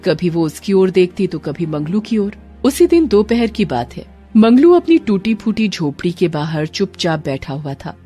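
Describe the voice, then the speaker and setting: A woman narrator reading a scripted moral story in an expressive, dramatic storytelling manner. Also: on the low side, moderate pace, few pauses, faint music behind.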